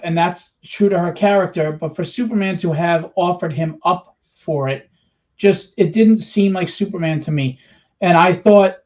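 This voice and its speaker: A man with a fairly high voice talks films casually on a panel. He is opinionated and halting in delivery, and the sound is dull and lo-fi. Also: a little mellow. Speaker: roundtable panelist. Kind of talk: casual chat about films. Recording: dull lo-fi band-limited audio.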